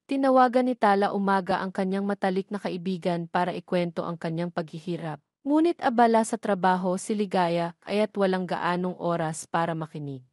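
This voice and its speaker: A woman's voice: mid-range voice, darkish tone, dry recording, clean studio sound. A woman reading scripted narration of a romantic story, as a storyteller.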